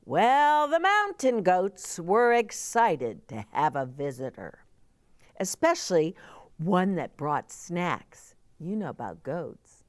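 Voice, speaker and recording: A middle-aged woman reads aloud for young children at storytime. Her pitch swings expressively and her pace is slow. She adds simple, chatty explanations, and the sound is close and dry. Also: sing-song, no room sound.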